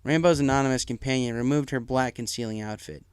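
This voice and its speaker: A man's voice: mid-range voice, fast talker, clean tone, no room sound. A man reading a story aloud as dramatic scripted narration. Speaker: story reader. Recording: music playing behind the voice. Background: music.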